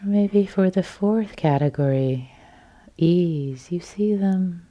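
A woman from Iran whose first language is Farsi, guiding a meditation in a slow, soft, partly whispered voice. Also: lowish voice, muffled recording. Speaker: meditation teacher. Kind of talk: guided loving-kindness meditation.

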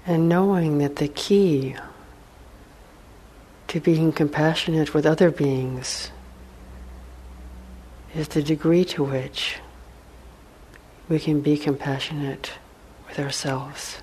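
A woman meditation teacher leading a guided meditation in a hushed, soothing manner, with long pauses between short phrases. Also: low-pitched, steady tone, rough recording. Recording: faint background noise.

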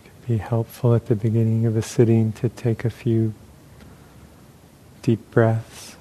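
A man leading a guided meditation as a teacher, speaking calmly and gently in measured phrases with pauses. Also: on the low side, slight background noise, low-quality recording.

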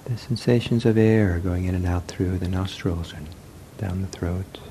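A man teaching a guided meditation in gentle, soothing short phrases with long pauses. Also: deep voice, fairly even intonation, a little hiss or hum, low-quality recording.

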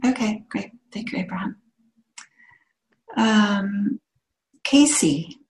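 A woman's voice: medium pitch, expressive, takes it slowly, rough recording. A woman hosting an online meditation class, calling on participants by name to introduce themselves. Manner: calls on participants one by one, unmuting them. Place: video call.

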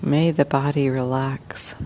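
A woman meditation teacher leading a guided meditation, speaking slowly and gently with long pauses. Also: very deep voice, flat delivery, a little hiss or hum.

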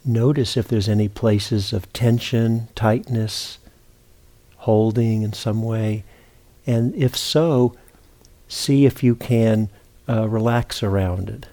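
Soft mellow guy leading a guided meditation, lowish voice, gentle and unhurried, lots of pauses. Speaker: meditation teacher.

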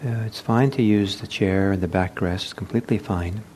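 A man leading a guided meditation as a teacher, speaking gently and unhurriedly with halting pauses. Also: steady tone. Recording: low rumbling background noise.

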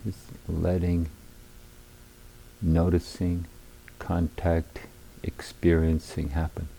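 Very deep, gravelly male meditation teacher. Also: completely flat delivery, dark tone, a little hiss or hum, low-quality recording. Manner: measured, sparse phrases with long pauses. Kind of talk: guided meditation instruction. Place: meditation retreat hall.

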